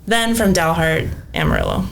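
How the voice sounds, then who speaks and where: A woman's voice: fairly low pitch, huge pitch swings, moderate pace, gravelly, a little hiss or hum. A woman in her thirties, from Mexico and raised in Phoenix, chatting casually as a podcast guest with lots of ums and likes. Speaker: podcast guest, cleaning business owner. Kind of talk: casual interview chat.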